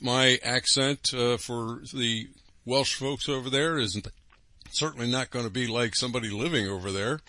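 A man's voice: mid-range voice, pushed and bright, no room sound. A man hosting a religious broadcast, preaching emphatically with rhetorical questions and frequent asides. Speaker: radio broadcast host.

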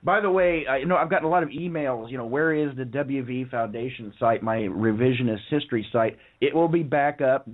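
Hoarse man talking over a phone line. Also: mid-range voice, brisk, hardly any pauses. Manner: rambling, many fillers and restarts. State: hoarse from a lung infection. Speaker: radio show guest. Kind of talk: casual phone-in interview talk. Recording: narrow phone-line sound.

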